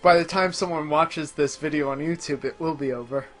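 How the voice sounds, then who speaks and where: A man with a somewhat high voice gives relaxed, conversational gaming commentary as he plays. He often hesitates and thinks aloud. Faint music plays behind him. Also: brisk. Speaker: host playing a video game. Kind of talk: casual gaming commentary chat.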